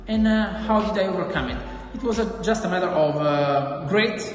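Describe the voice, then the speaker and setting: Casting note: a man whose first language is not English. He speaks haltingly, with lots of "uh"s and restarts. Reverberant hall, with clear music playing underneath. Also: high-pitched. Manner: hesitant, many fillers and restarts. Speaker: school staff member. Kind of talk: recorded assembly talk.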